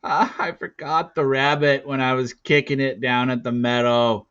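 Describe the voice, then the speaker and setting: A man's voice: mid-range voice, steady tone, moderate pace, a bit bright, clean tone, no room sound, dull audio, voice pushed up, close to an everyday voice. A man reading a storybook aloud, playing an earnest young boy hunter who speaks in an eager, pleading, hesitant way. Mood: sheepish.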